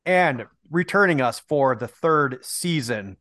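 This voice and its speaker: A man's voice: mid-range voice, expressive, takes it slowly, a bit bright, no room sound, studio quality. A man hosting a podcast, giving hyped, joking introductions in casual chat. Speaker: podcast host.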